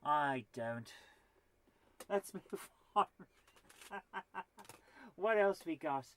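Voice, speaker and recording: A woman's voice: deep voice, expressive, very slow. A woman of forty with a cold, hosting a live retro gaming stream, chatting to viewers and muttering to herself while she plays in a chatty, self-deprecating way. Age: 40s. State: has a cold. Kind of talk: live gameplay stream chat.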